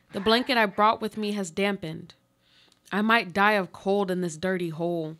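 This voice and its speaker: A woman playing a desperate, pleading earthquake victim buried alive, in a deliberate, literary radio play monologue. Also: mid-range voice, a bit bright, lightly acted. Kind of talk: live radio play monologue, read from a script.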